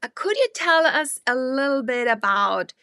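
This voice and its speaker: Bright-voiced woman hosting an interview. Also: sits high, lively intonation, moderate pace, vocal fry, no room sound. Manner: reads intro formally, hesitant with fillers when asking. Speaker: host. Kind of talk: interview introduction and questions.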